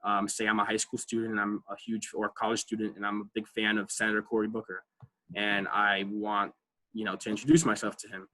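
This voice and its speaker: A man with a bright, forceful voice and a slightly low pitch runs an online advising class from his computer mic. He talks casually, leans on fillers and keeps asking "right?" to check in with his listeners. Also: flat delivery, a little fast, low-quality recording. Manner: conversational, many fillers, frequent "right?" checks. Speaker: college advising workshop presenter. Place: online video call.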